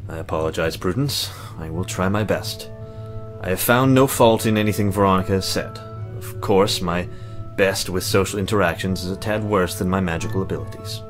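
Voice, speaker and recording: A man's voice: low voice, a little fast, faint music behind, close to an everyday voice. A man playing an arrogant, creepy warlock mage who speaks in precise, formal, pompous diction in a visual novel. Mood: stiffly polite. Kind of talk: visual novel character acting.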